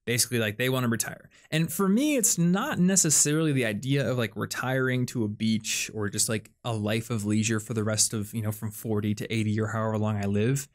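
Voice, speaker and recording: A man's voice: fairly low pitch, a little fast, hardly any pauses. A young man, hosting a casual podcast chat and explaining ideas with plenty of fillers. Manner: chatty, explains things with lots of "like" and "um". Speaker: podcast host.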